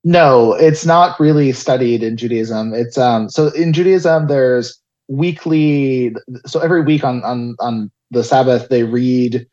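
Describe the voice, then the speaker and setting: A young man raised in South Florida recounts his life story as an interview guest, pausing often with fillers and restarts. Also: mid-range voice. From Israel, raised in South Florida. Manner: hesitant, many restarts and fillers, soft laughs. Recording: dull lo-fi audio.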